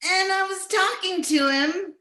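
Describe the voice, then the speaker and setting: An actress playing a gossipy small-town bystander in an old-time radio comedy, heard in a roomy recording. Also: female voice, extremely high pitch, measured pace, sharp tone, heavily acted. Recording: roomy, distant home microphone.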